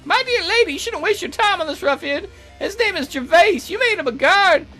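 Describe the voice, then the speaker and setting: A man puts on a fussy little bird servant for a visual novel. The voice sits very high and swoops about, prim and formal, full of fawning devotion and ready indignation. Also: lively intonation, fairly quick, pushed and bright, faint music behind, heavily acted. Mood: indignant. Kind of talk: visual novel character voice-over.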